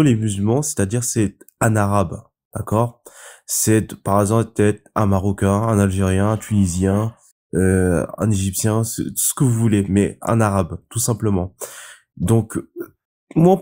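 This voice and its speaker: A man's voice: mid-range voice, dark tone. A man calling in to a live stream, giving his opinion hesitantly with many fillers and restarts. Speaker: caller. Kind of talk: casual opinion monologue.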